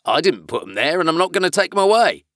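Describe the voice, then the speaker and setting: Guy doing a grumpy sheep from a kids book, curt, dismissive, swoopy pitch. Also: sing-song, fast, a bit bright, no room sound, studio quality. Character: grumpy, unhelpful knitting sheep.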